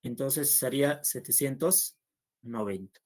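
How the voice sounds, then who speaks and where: Male math teacher, unhurried and patient, talks students through each step. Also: mid-range voice, a little mellow. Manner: slow and patient, checks understanding with "¿no?". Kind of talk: online math class, solving problems aloud.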